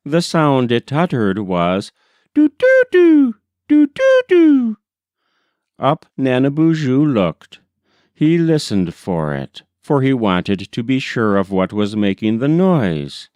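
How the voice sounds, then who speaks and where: Slow, lively-pitched man narrating a folk tale. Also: clean tone, no echo. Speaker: audiobook reader.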